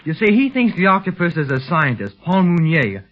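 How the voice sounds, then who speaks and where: A man's voice: on the high side, sing-song, normal speed, soft background music. A young man playing an eager, plucky teenage boy adventurer with earnest, slangy talk in an old radio serial. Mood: earnest, explaining. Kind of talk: 1930s radio acting. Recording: muffled vintage 1930s radio recording.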